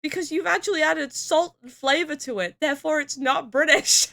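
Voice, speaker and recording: A young woman from the UK talking casually on a stream, with a bright, forceful tone that sits a little high. She laughs often and swears freely while reading chat aloud. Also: moderate pace, gravelly. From United Kingdom.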